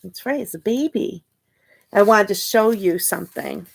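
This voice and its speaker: A woman reading a children's picture book aloud expressively, pausing to ask her young listeners questions and point things out. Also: medium pitch, lively intonation, vocal fry, breathy edge. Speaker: storytime reader and teacher.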